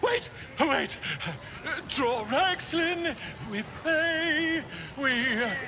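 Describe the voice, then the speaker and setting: An actor playing a flamboyant, self-important bard in a video game, with a grandiloquent theatrical manner. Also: male voice, high voice, very animated, a little slow, bright, forceful tone, exaggerated voice. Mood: flustered, nervous. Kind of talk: video game dramatic acting. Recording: music behind the voice. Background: music.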